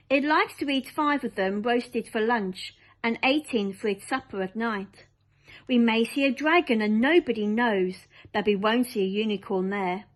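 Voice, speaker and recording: A woman voicing a boisterous, reckless male centipede in a storybook, declaiming with excitable glee. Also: on the high side, rough recording.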